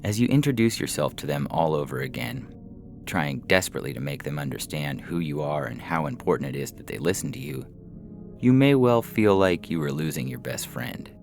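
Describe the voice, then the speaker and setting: Very deep, gravelly male story reader. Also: animated, brisk, a little mellow. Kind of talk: literary short story narration. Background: faint background music.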